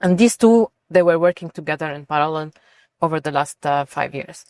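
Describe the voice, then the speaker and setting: Low-voiced woman from Lebanon, dry close sound. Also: fairly quick, darkish tone, dry recording. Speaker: interview guest, robotics and AI researcher. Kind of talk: conversational interview answers.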